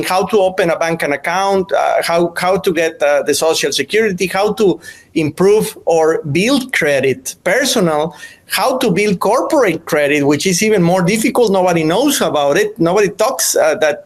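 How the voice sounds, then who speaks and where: Mexican-accented male podcast host, fairly high voice, pauses to find words in English. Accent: Mexican Spanish. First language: Spanish. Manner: hesitant, searching for words in English. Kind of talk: conversational interview.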